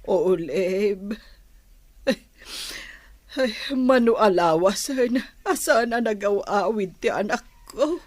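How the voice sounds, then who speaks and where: A woman's voice: medium pitch, expressive, slow, darkish tone, no room sound. A woman acting a worried, sorrowful mother in an Ilocano audio drama, pleading as she speaks. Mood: worried, sad.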